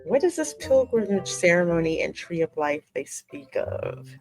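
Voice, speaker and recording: Lower-voiced woman hosting a game playthrough. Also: big pitch swings, moderate pace, over music, low-quality recording. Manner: conversational, reacting and musing aloud. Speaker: gaming channel host. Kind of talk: casual game playthrough commentary with read-aloud narration.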